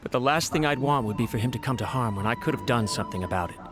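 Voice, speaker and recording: A man voicing a loyal, worried servant in a video game, earnest, deferential and quick to belittle himself. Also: medium pitch, lively intonation, natural voice. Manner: earnest, self-deprecating. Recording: game music behind the voice. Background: game music.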